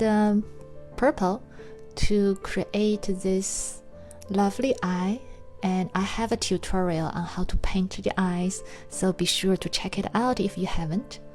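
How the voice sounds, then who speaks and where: Slow, gentle Chinese-accented woman. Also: medium pitch. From China. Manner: unhurried, gentle explanations with frequent pauses. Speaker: watercolor art teacher and farm owner. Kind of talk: narrated painting tutorial voice-over.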